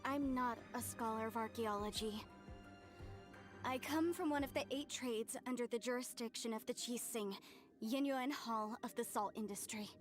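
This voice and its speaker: A woman's voice: on the high side, moderate pace, natural voice. An actress voicing an earnest young woman in a game, speaking formally and with passion. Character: earnest young woman, salt-trade descendant. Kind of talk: game voice acting. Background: game music.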